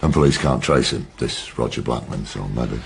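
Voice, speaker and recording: An actor playing a blunt, sweary, gruff middle-aged detective in a naturalistic radio drama, with a gravelly voice. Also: male voice, a bass voice, moderate pace, slight background noise. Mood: blunt, businesslike.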